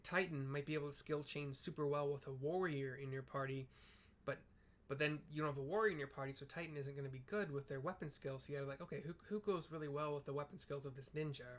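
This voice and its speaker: A man's voice: medium pitch, fairly even intonation, fast talker. A man giving casual, rambling gameplay commentary as a streamer, explaining things informally as he thinks aloud. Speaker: video game streamer.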